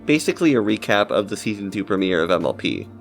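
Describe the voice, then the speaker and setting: Male video essayist, low pitch, casual scripted commentary over background music. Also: sing-song, fast, creaky.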